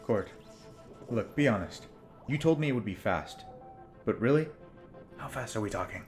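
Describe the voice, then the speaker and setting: A man's voice: medium pitch, lively intonation, soft background music, close to an everyday voice. A man playing a nervous, joking older-brother pilot. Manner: nervous, joking, fast-talking bluffs. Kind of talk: fan audio drama acting.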